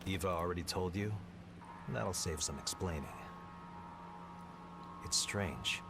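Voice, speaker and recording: A man's voice: sits low, takes it slowly, noisy background. An actor playing a grim, weary watchman in a video game, terse and brooding in his delivery. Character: grim, weary male watcher of dangerous successors. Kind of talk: dramatic video game voice acting. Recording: loud music under the voice.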